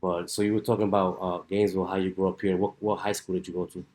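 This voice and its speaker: Easygoing male podcast host, low voice. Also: little pitch movement, rapid-fire, a little mellow. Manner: easygoing, enthusiastic, slangy. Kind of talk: casual interview.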